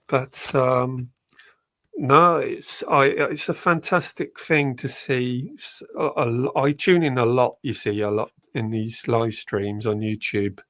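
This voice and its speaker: A man's voice: medium pitch, slow, fairly bright tone, no room sound. A man with a cold, chatting hesitantly as a guest on a live stream. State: bunged up with a cold. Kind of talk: casual chat.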